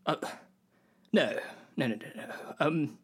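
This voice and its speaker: A man's voice: fairly high pitch, animated, slow, husky, heavily acted. Man playing a hesitant, flustered, earnest actor. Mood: flustered, disbelieving. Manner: hesitant, stammering, polite. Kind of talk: improvised comedy sketch.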